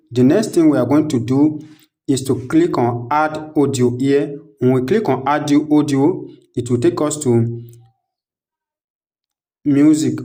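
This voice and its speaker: A man's voice: on the high side, mellow. A man hosting a step-by-step screen tutorial, narrating each click aloud in a repetitive, instructional way. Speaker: tutorial host.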